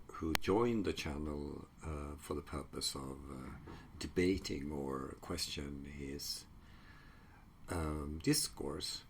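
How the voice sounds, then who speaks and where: A very deep, gravelly-voiced man whose first language is Swedish speaks slowly in a casual solo talk. He halts often with fillers and restarts. Faint noise sits under the recording. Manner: halting, many fillers and restarts. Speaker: psychology researcher.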